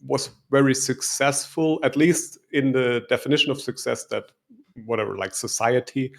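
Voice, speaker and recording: A man's voice: medium pitch, steady tone, normal speed, creaky. A middle-aged man from Germany with a German accent, a life coach answering interview questions in a reflective, somewhat hesitant way.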